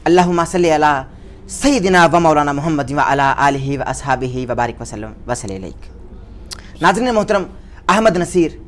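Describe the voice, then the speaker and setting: A male interviewer with a high voice for a man. He speaks in formal, rhetorical phrases with a deferential, declamatory delivery. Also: fairly bright tone. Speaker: podcast host and interviewer.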